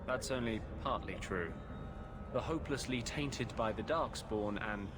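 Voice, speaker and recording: An actor playing an earnest, brooding runaway warden who defends mages, in measured video game dialogue. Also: male voice, medium pitch, close to an everyday voice. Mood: wry, guarded. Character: weary, defiant runaway warden, protective of mages. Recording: clear background noise behind the voice.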